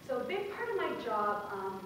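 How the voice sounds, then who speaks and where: Woman speaking in a booming, echoey hall. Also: big pitch swings, normal speed, noisy background. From Bangladesh. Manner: earnest and conversational, with laughs and fillers. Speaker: workshop speaker. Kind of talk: conference talk. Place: large lecture hall. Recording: very echoey hall, mic far off.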